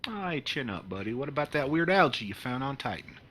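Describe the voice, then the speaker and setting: A man's voice: mid-range voice, very animated, brisk, fairly bright tone. A man playing an amiable, practical starship engineer, conversational in a sci-fi comedy play. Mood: consoling.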